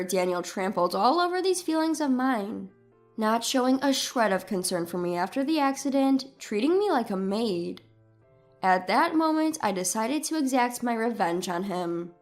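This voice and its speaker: Woman, story narrator, high pitch, expressive scripted reading, music underneath. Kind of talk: scripted first-person dramatic narration.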